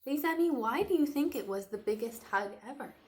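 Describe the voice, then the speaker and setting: A woman's voice: high voice, lively intonation, brisk, darkish tone. A woman teacher doing an interactive read-aloud for young children, speaking encouragingly to her listeners as friends. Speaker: teacher and storyteller.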